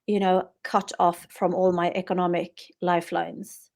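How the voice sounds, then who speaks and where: A woman's voice: on the low side, little pitch movement, measured pace, creaky, darkish tone. A middle-aged woman from Sweden with a Swedish accent, a guest author and yoga therapist talking earnestly and reflectively in a podcast interview, often restarting her phrases.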